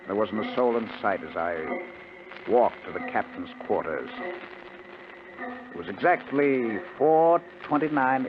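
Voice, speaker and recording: An actor playing a grim criminal who narrates his own crime in measured 1950s radio suspense style. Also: medium pitch. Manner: measured, deliberate. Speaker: first-person narrator. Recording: noisy, muffled 1950 radio recording.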